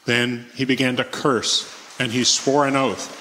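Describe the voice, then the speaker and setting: A man's voice: mid-range voice, little pitch movement, unhurried, bright, forceful tone, slight background noise. A man reading a Bible narrative aloud as the narrator at church. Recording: long church reverb.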